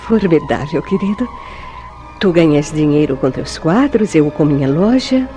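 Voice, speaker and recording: A woman's voice: low voice, lively intonation, normal speed, mellow. A Brazilian woman acting an evasive, coquettish newlywed wife in a radio soap opera, with theatrical, formal delivery. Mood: affectionate. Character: evasive, coquettish newlywed wife placating her jealous husband. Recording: radio drama with music behind.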